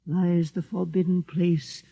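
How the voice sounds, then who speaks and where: A stern, venal old priest of a science fiction radio play. His high, gravelly, husky voice is pompous and dogmatic, quick to stammer when challenged. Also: flat delivery, mellow, no room sound, exaggerated voice. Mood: solemn, pompous. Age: older. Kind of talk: 1950s radio drama acting.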